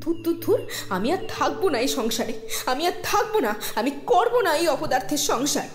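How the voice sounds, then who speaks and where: Village wife for animated drama: high-pitched, very animated, scolding and resentful. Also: female voice, moderate pace. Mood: bitter, complaining. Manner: scolding, grumbling. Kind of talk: dramatized animated horror story.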